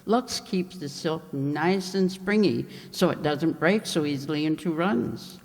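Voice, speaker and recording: A kindly aunt played by a woman speaks slowly and reassuringly, giving practical advice in 1940s radio commercial style. The sound carries a long hall reverb. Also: low voice, a little mellow.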